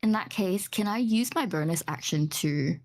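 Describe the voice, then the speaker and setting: A woman's voice: on the low side, expressive, normal speed, a little mellow. A woman chatting casually as a player in a tabletop role-playing game, hesitant and thinking aloud.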